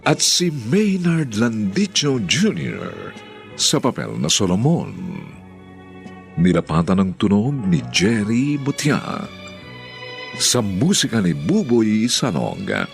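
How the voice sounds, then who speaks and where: A man narrating a radio drama as announcer, in a grandiose, solemn, drawn-out scripted style. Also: lowish voice, wildly swooping pitch, gravelly, faint music behind.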